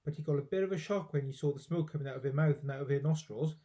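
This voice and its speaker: A man's voice: a little high, very fast, dark tone. A man reading a children's storybook aloud expressively, with dramatic emphasis, like a teacher.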